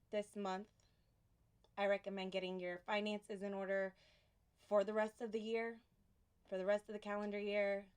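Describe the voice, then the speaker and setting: A woman from Houston giving a casual tarot reading, soft and unhurried, pausing often, a little sniffly from allergies. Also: mid-range voice. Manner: soft, unhurried, reflective delivery with pauses. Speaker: tarot reader.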